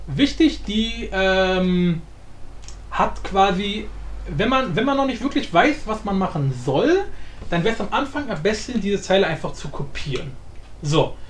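High-pitched, animated man in an echoey room. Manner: informal, thinking aloud, repeating himself. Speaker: tutorial host. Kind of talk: casual unscripted software tutorial. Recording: roomy echo with faint background noise.

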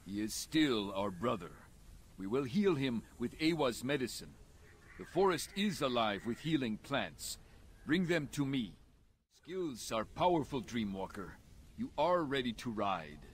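Earnest alien healer, expressive. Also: male voice, mid-range voice, a little hiss or hum. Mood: encouraging. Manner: reassuring, formal, measured phrasing. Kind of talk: video game voice acting.

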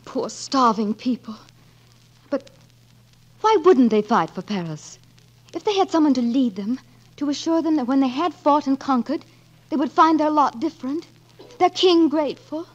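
A high-voiced, pleading noblewoman. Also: animated, normal speed, pauses often. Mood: earnest. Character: gentle, pleading noblewoman in love. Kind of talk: 1940s radio drama acting. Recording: dull 1940s radio recording.

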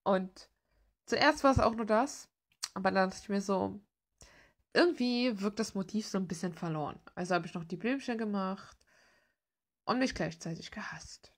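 A woman's voice: mid-range voice, normal speed. A young woman chatting informally and hesitantly while she draws, thinking aloud in slangy language. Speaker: art vlogger. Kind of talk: casual rambling chat while drawing. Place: cluttered room at home, at a desk.

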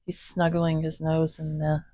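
A low-voiced woman talks slowly and casually at home, recorded off-camera on a phone. She breaks into apologetic asides before settling into a steady reading of a psalm. The sound is close and dry, with no room echo. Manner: slow, chatty, apologetic asides. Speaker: host reading scripture. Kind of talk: casual chat and Bible reading aloud. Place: home, indoors, voice behind camera filming a cat.

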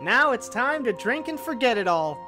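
A woman's voice: fairly high pitch, big pitch swings, moderate pace, over music. A woman voicing a brash, bossy shrine maiden with a menacing streak in an amateur comic dub. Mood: cheerfully threatening.

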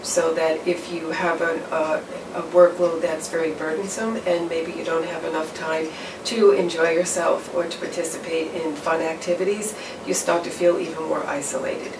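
A middle-aged woman explains things in a measured, low and fairly flat voice, pausing on fillers and restarting phrases. The mic sits at a distance, so the sound is roomy, with steady background noise. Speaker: campus counseling professional. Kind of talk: interview answers. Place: office or studio in front of a backdrop.

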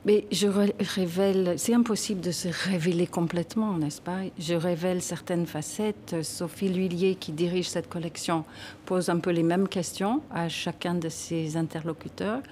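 Woman, 60s, from Canada, guest author in a TV studio, low voice, faint ambience. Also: fairly even intonation, normal speed, darkish tone, slight background noise. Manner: thoughtful, emphatic, gesturing while talking. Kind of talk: TV studio interview. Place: TV news studio. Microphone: desk microphone.

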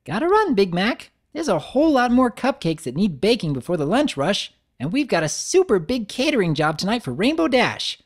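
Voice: medium pitch, big pitch swings, moderate pace, hardly any pauses, voice pushed up. A narrator voicing a bubbly, hyperactive young female cartoon pony, gushing and sing-song. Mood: bubbly, rushed.

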